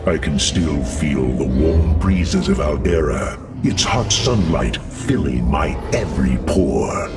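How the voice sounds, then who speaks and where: A noble alien war machine, speaks very low and slowly, with a gravelly rumble. He is solemn, proud and sentimental, as in an epic video game, and sounds set back from the mic. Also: animated, distant mic, music in the background, full character voice. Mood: wistful. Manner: solemn, weighty, heartfelt. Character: deep-voiced, earnest, noble mechanical alien warrior, sentimental and proud. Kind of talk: dramatic video game voice acting.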